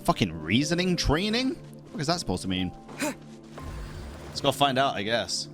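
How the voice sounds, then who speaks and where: Male game streamer, mid-pitched voice, casual and sweary, faint game music behind him. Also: wildly swooping pitch, normal speed. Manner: informal, sweary. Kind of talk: casual livestream commentary and reading game dialogue.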